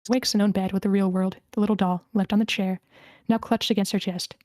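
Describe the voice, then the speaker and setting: A woman's voice: medium pitch, steady tone, a little fast, darkish tone, no echo, studio quality. A woman reading a screenplay's stage directions as the narrator in scripted narration.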